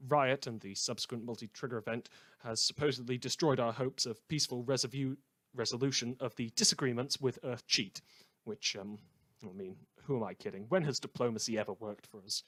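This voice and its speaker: A man's voice: medium pitch, no echo, natural voice. A man playing an opinionated, darkly comic radio host in an apocalyptic world, delivering a scripted monologue in a theatrical, wry manner. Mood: wry, dismissive. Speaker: radio host character in a fan audio drama.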